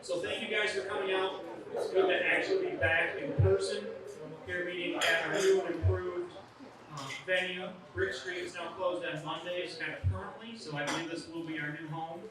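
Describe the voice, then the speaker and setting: A man's voice: sits high, fairly even intonation, moderate pace. A man who is the president of an association hosts an in-person meeting and introduces the board, talking loosely with plenty of fillers. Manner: casual, loose, with many fillers. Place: meeting venue room. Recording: very echoey room, distant mic, crowd behind.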